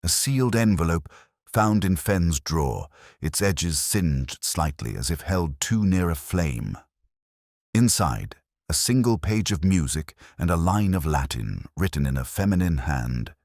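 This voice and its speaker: Very deep, brooding detective narrator, acted by a man. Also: sing-song, darkish tone, dry recording, clean studio sound, full character voice. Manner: brooding, measured, literary. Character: melancholy, reflective English police detective narrating his own case. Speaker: narrator of a detective audio drama. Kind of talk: scripted first-person noir-like mystery narration.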